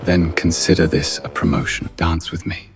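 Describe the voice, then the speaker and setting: A man playing a wry, unflappable young king in an animated fairy tale, speaking in terse, dry deadpan. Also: sits low, normal speed, natural voice. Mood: smooth, knowing. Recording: music behind the voice.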